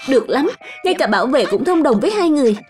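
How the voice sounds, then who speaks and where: A woman's voice: high voice, big pitch swings, quick pace, darkish tone, soft background music. A woman voicing a suspicious, indignant young mother in a dubbed children's cartoon, exclaiming and questioning.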